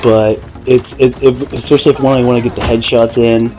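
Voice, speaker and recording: A man's voice: mid-range voice, flat delivery, brisk, poor audio. A man giving casual gameplay commentary, explaining things in a hesitant, self-correcting way. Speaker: gaming video maker. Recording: voice over faint game music and gunfire.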